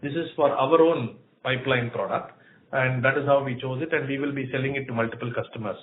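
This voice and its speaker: A man answering questions as a company executive on an earnings call, speaking in a halting, measured, explanatory way. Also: mid-range voice, fairly even intonation. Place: room on a conference call. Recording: roomy, narrow phone-like line with faint noise.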